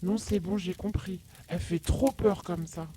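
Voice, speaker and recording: A woman voicing a young big-brother monster, cowardly and grumbling, husky and defensive, in a children's audio story. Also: mid-range voice, lively intonation, measured pace, dark tone. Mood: timid, shaky. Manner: defensive, easily cowed.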